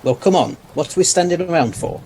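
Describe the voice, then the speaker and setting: A man acting a brisk, higher-voiced scientist adventurer. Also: expressive, noisy background. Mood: urgent. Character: brisk, authoritative male alien scientist adventurer. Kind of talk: audio drama acting.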